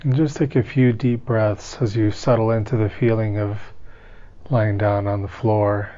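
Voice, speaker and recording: A man leading a guided meditation in a calm, gentle, unhurried manner, with long pauses between instructions. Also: fairly low pitch, highs cut off.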